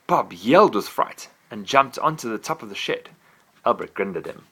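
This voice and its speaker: A father reading a bedtime story aloud to his child, gentle and animated. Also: on the low side, moderate pace, breathy edge.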